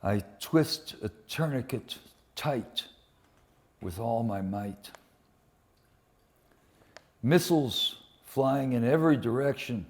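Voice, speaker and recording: A man reads poetry to a live gathering at a very unhurried pace. His phrasing is deliberate and dramatic, with weighty pauses, and he shifts into informal introductions in the same measured way. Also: mid-range voice, darkish tone. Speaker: poetry reader and presenter. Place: public poetry reading at a museum.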